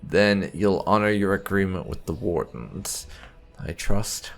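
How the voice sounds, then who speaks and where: A man giving roleplay commentary on a video game, thoughtful and deliberate, voicing his lines in between remarks. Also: low voice, vocal fry, faint music behind. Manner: thoughtful, deliberate, reading character dialogue aloud. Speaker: gaming channel host. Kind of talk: roleplay let's play commentary.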